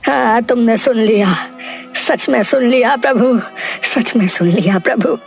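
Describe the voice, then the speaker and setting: A woman's voice: fairly high pitch, a little slow, vocal fry, faint music behind. A woman playing a poor, devout village farmer who pleads and exclaims in a cartoon moral story. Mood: grateful relief. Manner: plaintive, exclamatory, imploring.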